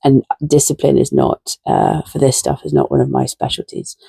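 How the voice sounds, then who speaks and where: A woman with a croaky, tired voice chatting casually and a little self-deprecatingly while potting up seedlings in her garden shed. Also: extremely low pitch, sing-song, moderate pace, warm tone, dry recording. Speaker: gardening vlogger.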